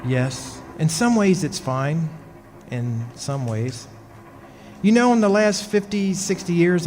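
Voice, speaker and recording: A henpecked husband, played by a man confides his woes as in an old radio play. His voice is slightly high, conversational, wry and hesitant. It sounds in a reverberant hall with music behind. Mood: wry, uneasy. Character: henpecked, long-lived husband haunted by his witch wife. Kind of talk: old-time radio drama, storytelling monologue. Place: stage performance in a club hall.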